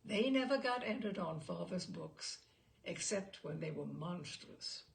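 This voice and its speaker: A woman's voice: fairly low pitch, animated, unhurried, gravelly, a little hiss or hum. A woman reading a literary short story aloud expressively, with theatrical emphasis on key words.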